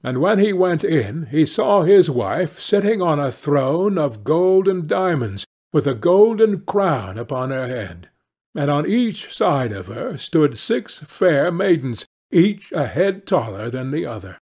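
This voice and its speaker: A man narrating a fairy-tale audiobook in a measured, expressive storytelling manner. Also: fairly high pitch, husky.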